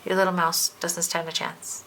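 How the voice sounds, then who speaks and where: A woman's voice: lowish voice, little pitch movement, normal speed, fairly bright tone, a little hiss or hum, close to an everyday voice. A woman voicing a proud, taunting male moon god in a storybook, with a condescending, teasing manner.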